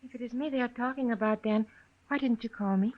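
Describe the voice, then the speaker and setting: An actress playing a reserved, dignified young wife, a chief's daughter, in a radio western, speaking formally and carefully. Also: female voice, on the high side, quick pace, natural voice. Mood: reserved, earnest. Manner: formal, careful, plain-spoken. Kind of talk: old-time radio western acting. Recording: muffled old radio recording.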